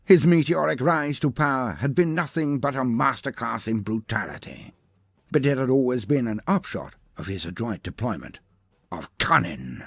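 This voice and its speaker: A man's voice: mid-range voice, animated, moderate pace, gravelly, slightly rough, no echo. A man narrating a scripted mock-epic fantasy tale in a grandiose, theatrical manner with ornate delivery. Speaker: storyteller, narrator.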